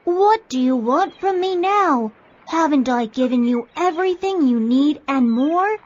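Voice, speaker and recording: A woman plays a magical talking fish from a children's storybook, stern and exasperated. The voice is high and slow, scolding with emphasis. The recording is dull and lo-fi. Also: expressive, darkish tone. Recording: dull lo-fi audio.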